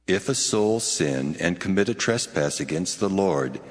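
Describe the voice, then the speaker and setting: Man voicing God, deep with vast reverb. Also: expressive, unhurried. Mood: solemn, commanding. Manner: solemn, declamatory. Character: the Lord God, solemn commanding male divine voice. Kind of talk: dramatized scripture reading. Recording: long cavernous reverb, music behind.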